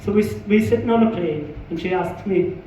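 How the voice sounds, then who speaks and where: A man's voice: high-pitched, a little fast, dark tone, slight background noise. A man with a Welsh accent doing a stand-up comedy set in a pub, punchy, sweary and self-mocking. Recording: echoey pub room, distant muffled mic.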